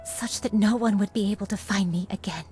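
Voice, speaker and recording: A woman voicing a dignified, remorseful elderly crane spirit in human form in a video game, telling her story in a measured, solemn way. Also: mid-range voice, a little mellow, husky, soft background music, natural voice. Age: older.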